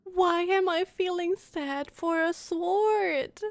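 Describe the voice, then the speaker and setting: A woman giving casual live commentary as a game streamer, expressive and emotionally invested. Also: extremely high pitch, slow, creaky, no echo.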